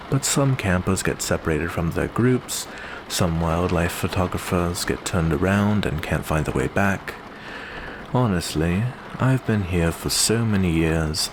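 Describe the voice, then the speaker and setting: A man playing a genteel male vampire who addresses the listener with endearments in a soft, close ASMR roleplay monologue. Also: low-pitched. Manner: unhurried, genteel, uses endearments. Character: courteous, smooth male vampire host with hidden predatory menace. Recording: clear steady background noise.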